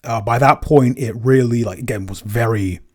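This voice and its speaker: A man chatting casually in a behind-the-scenes Q&A as a producer and composer, with a halting, self-effacing way of talking. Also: mid-range voice, darkish tone.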